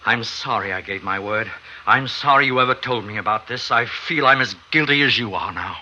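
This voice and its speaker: An actor playing an anxious, moralizing friend, grave, hesitant and pleading, in 1950s radio drama style. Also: male voice, medium pitch, a little fast, natural voice. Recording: noisy 1950s radio recording.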